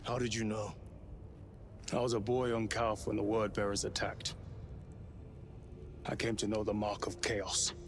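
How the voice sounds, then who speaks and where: An actor playing an earnest, dutiful male space soldier in a video game, speaking in a formal, measured way. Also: on the low side, flat delivery, slight background noise, close to an everyday voice. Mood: guarded. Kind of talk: video game cutscene acting.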